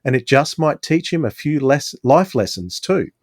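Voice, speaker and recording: A man's voice: medium pitch, big pitch swings, moderate pace, darkish tone, no room sound, clean studio sound. A man presenting and discussing as a podcast host.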